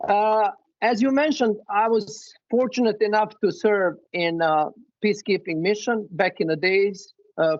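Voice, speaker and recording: A man's voice: high-pitched, no echo, lo-fi sound. A man from Bosnia and Herzegovina, a former coach, talking earnestly on a webinar panel with many restarts.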